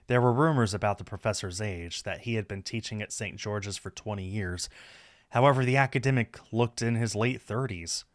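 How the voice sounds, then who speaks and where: A man with a fairly low voice narrates a story. The sound is dry and close, with little room around him, as he reads scripted prose aloud. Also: moderate pace, no room sound. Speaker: streamer reading a visual novel aloud.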